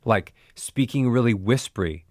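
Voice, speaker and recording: A man's voice: mid-range voice, normal speed, darkish tone, no echo. Man coaching voice, dry close sound. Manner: clear, coaching, demonstrates sounds. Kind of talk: instructional audiobook narration.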